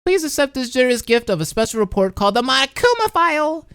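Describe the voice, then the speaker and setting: Someone doing a high, sinister game announcer. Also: big pitch swings, fast talker, fairly bright tone, smooth, dry recording, studio quality. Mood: mock solemn. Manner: formal, theatrical delivery. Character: sinister, mockingly polite announcer overseeing a killing game.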